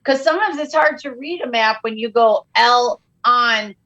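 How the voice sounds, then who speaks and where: Woman, middle-aged, comedic guest, bright voice, fairly high, animated jokey delivery. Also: normal speed, muffled recording. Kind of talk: comedic podcast banter.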